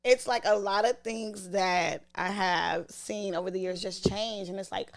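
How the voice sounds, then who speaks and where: A woman's voice: medium pitch, fairly even intonation, a little slow, no room sound. A woman in her late twenties hosting a podcast, chatting casually and animatedly with playful slang and frequent laughter. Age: late 20s. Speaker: podcast host. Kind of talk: casual chat.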